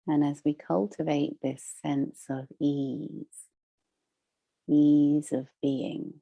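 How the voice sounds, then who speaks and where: A woman leading a guided meditation as a teacher, soothing and measured, with long pauses between phrases. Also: low voice, little pitch movement, creaky, mellow, dull audio.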